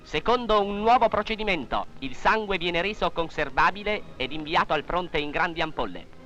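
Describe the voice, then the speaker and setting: A man's voice: sits high, animated, moderate pace, sharp tone, faint music behind, poor audio. A man reads scripted 1940s wartime newsreel narration in a formal, declamatory manner, on an old film soundtrack.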